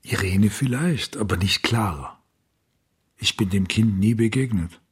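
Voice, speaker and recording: An actor playing a brooding, obsessed man haunted by loss in a radio crime drama, speaking in a halting, bitter, reflective way. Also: on the low side, music in the background, lightly acted. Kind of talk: radio play dialogue.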